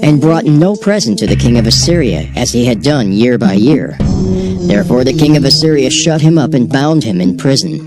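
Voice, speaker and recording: Male narrator, dramatized scripture, measured solemn delivery, expressive pitch, loud music bed. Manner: measured, solemn reading cadence.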